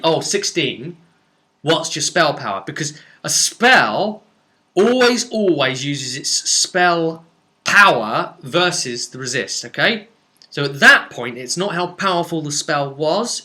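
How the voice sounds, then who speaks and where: A man with a very bright, sharp-edged voice at an average male pitch talks through game rules off the cuff. He is chatty and emphatic, thinking aloud as he goes and often checking that the listener follows. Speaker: tabletop game explainer answering a subscriber. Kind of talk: casual unscripted rules explanation.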